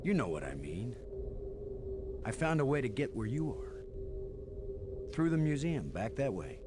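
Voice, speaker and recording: An actor playing an earnest, curious astronaut explorer in an adventure video game, with a wry, musing manner. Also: male voice, medium pitch, big pitch swings, measured pace. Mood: eager. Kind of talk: 1990s adventure game dialogue and narration of surroundings. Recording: loud music under the voice.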